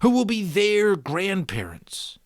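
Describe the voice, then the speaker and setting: A man's voice: high voice, huge pitch swings, slow pace, no echo, clean studio sound, voice pushed up, full character voice. Man voicing a wise, patient old mentor. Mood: gently probing. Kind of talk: audiobook character voice.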